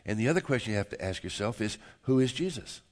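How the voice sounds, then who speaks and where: A man's voice: lowish voice, animated, fast. An older or middle-aged man preaching a sermon as a pastor, speaking emphatically with rhetorical questions and repeated phrases. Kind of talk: sermon to a congregation.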